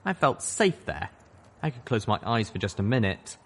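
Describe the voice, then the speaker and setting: Low-voiced man narrating a story. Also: normal speed, a little hiss or hum. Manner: steady first-person storytelling. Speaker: audiobook reader. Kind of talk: fan-fiction audiobook narration.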